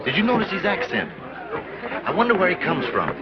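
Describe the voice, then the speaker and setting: An actor playing a curious spectator who wonders aloud quickly, on a noisy old film soundtrack. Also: male voice, sits high, big pitch swings, muffled recording, over a noisy crowd. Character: curious male spectator in the audience, gossipy.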